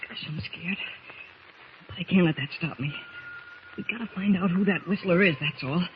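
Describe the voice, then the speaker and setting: Wholesome plucky lad, voiced by a man, high-pitched, vintage radio serial with clear background noise. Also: moderate pace, close to an everyday voice. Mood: anxious, plucky. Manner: wholesome, breathless exclamations. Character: eager, earnest teenage boy, plucky cub adventurer. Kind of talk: 1940s radio drama acting. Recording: dull, noisy old radio recording.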